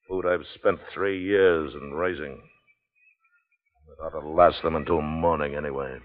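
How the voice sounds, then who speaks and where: Commanding plantation boss, vintage radio. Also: male voice, a bass voice, steady tone, a little slow. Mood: grimly resolute. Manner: clipped, authoritative, resolute. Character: defiant, commanding plantation owner, grimly stubborn man. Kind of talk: 1950s American radio drama acting. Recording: muffled, phone-like 1950s radio recording.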